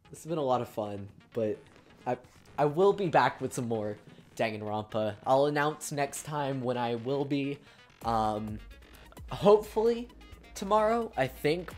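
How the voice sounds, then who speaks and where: A woman's voice: a bass voice, darkish tone. A woman streamer with a tired voice, chatting casually in a rambling way as she signs off. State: tired voice after a long stream. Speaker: streamer playing a story game. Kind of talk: casual stream sign-off chat.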